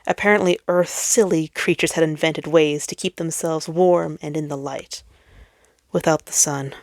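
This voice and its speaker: A woman narrates a piece of fan fiction in a slightly low voice. Her reading is theatrical and emphatic, with softly trailing phrases, and the sound is dry and close. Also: fairly even intonation, moderate pace, dry recording. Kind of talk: dramatic scripted story narration.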